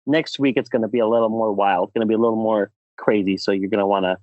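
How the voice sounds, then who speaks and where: A man's voice: fairly low pitch, steady tone, quick pace, no room sound, phone-quality sound. A man hosting a casual podcast, bantering with playful, mock-outraged showmanship. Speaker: podcast host.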